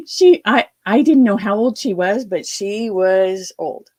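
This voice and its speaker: Lively woman telling her story. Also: medium pitch, takes it slowly, slightly rough. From Ohio, USA. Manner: lively, animated retelling with quoted asides. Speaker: guest. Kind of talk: storytelling interview.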